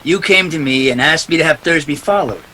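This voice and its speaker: An actor playing a tough, cynical private detective with a clipped, sardonic delivery in a detective radio play. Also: male voice, fairly high pitch, fairly quick, bright, forceful tone, slight background noise, low-quality recording. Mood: stern.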